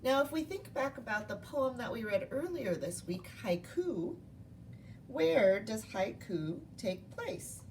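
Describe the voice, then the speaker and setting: A woman's voice: on the high side, very animated, slow, darkish tone, far from the mic, a little hiss or hum. A woman teacher giving a read-aloud lesson to young children, speaking in simple instructional phrases and asking questions. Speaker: primary school teacher.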